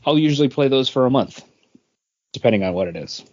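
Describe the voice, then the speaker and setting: A man's voice: mid-range voice, expressive, fast, a little mellow. A man in his thirties, co-hosting a podcast in casual chat, rambling with lots of fillers and restarts. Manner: rambling, filler-heavy storytelling. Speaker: podcast co-host. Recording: dull lo-fi sound.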